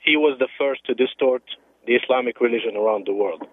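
A man from Morocco calling in to a radio show, earnest and a little halting. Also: mid-range voice, no echo. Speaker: caller. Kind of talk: call-in radio conversation. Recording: tinny phone line on radio.

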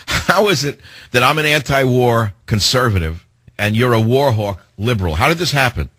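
A man hosting a talk radio show, delivering a sarcastic, indignant monologue with theatrical swings in pitch. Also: animated, moderate pace, fairly bright tone, husky, no echo, studio quality.

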